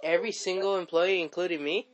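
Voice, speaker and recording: A man's voice: sits high, steady tone, quick pace. A man hosting a podcast, sounding nasal from a head cold, easygoing and prompting his co-host with short questions. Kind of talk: casual podcast chat.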